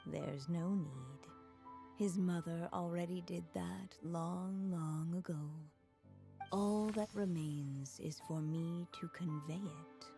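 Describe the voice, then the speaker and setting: Slow, tender dying storyteller. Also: female voice, on the low side. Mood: tender farewell. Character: gentle dying woman storyteller, tender motherly writer saying farewell. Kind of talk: dramatic video game voice acting. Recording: music behind the voice. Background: loud music.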